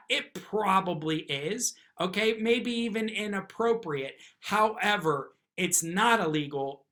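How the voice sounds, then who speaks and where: A man with a high, forceful voice delivers off-the-cuff legal commentary on the news. He puts questions to himself and answers them firmly, tagging his points with quick checks that the listener follows. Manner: emphatic, often tags points with "okay?". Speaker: lawyer commentator, video host. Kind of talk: unscripted opinion commentary on news.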